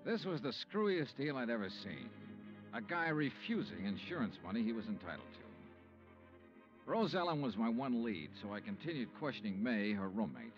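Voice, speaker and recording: An actor playing a dogged, hard-boiled insurance investigator in a 1950s radio drama, clipped and wry. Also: male voice, mid-range voice, sing-song, moderate pace, close to an everyday voice. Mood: wry, brooding. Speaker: lead actor and narrator. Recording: dull old radio recording, music behind.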